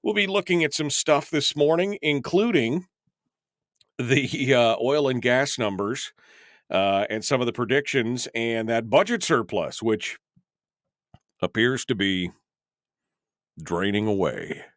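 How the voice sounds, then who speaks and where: A male talk radio host rambles through his show rundown with lots of fillers, jokey asides and self-deprecating remarks. His voice sits at an ordinary pitch and sounds close and dry, with no room around it. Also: animated, slow, long pauses, dry recording. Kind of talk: casual live talk radio. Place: radio studio.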